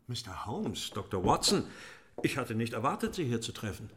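An actor playing a formal, reserved gentleman who speaks politely and guardedly in a radio play. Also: medium pitch, sing-song, moderate pace, a little hiss or hum. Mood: surprised. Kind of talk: German radio play acting.

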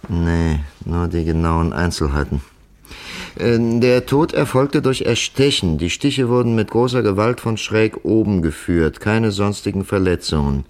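An actor playing a shrewd, methodical police commissioner in a 1950s German radio crime drama, musing aloud with deliberate, patient superiority. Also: male voice, fairly low pitch, lively intonation, darkish tone. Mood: musing, matter-of-fact.

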